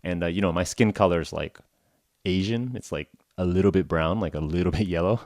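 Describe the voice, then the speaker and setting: A man's voice: sits low, brisk, a little mellow, no echo, clean studio sound. A young American man hosting a podcast for English learners, talking casually with lots of "uh" and "like" and self-deprecating jokes. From United States (Oklahoma). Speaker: podcast host.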